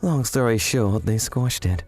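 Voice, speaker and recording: Matter-of-fact underworld informant. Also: male voice, fairly low pitch, measured pace, darkish tone, no room sound.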